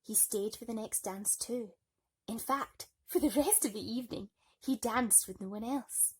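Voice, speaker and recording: A woman narrating a bedtime story in an expressive, theatrical way. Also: a little high, moderate pace, husky.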